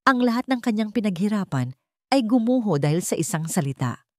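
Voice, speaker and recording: A woman's voice: medium pitch, sing-song, brisk, dry recording, studio quality. A woman reads a scripted dramatic story in a solemn, suspenseful, emotive manner.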